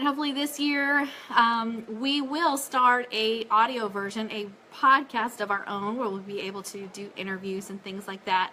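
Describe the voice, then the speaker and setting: A woman's voice: a little high, bright, forceful tone, slight background noise. A woman hosting a casual fan live stream, chatting and making announcements in an upbeat, enthusiastic way.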